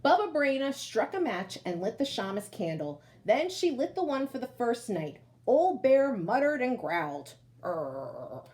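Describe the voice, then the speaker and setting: Animated woman reading a children's story. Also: high voice, normal speed, hardly any pauses. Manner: animated, addresses young listeners directly. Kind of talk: expressive read-aloud of a picture book.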